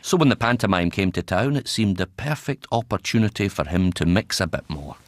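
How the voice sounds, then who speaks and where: An actor in a radio drama playing and narrating as a tolerant older Scottish doctor, dry and avuncular. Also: male voice, sits low, lively intonation, fairly quick, slight background noise. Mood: dry, wry.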